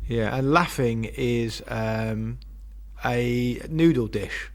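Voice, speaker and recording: Podcast co-host, male, mid-pitched, dry teasing manner, voice starting to go. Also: extremely slow, gravelly. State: losing his voice. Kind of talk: casual chat, reading a listener email.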